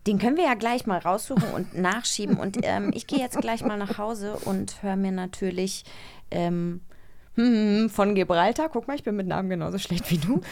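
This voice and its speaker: A woman's voice: mid-range voice, normal speed, a little mellow, a little hiss or hum. Cheeky woman co-hosting a podcast. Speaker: podcast co-host. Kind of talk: casual, joking podcast chat.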